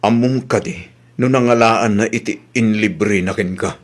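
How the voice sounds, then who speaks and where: A man's voice: fairly low pitch, normal speed, natural voice. A man with an Ilocano accent playing an angry, exasperated husband in a comedy radio drama. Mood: stern, accusing. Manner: scolding, accusing, exasperated. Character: angry husband whose savings were spent.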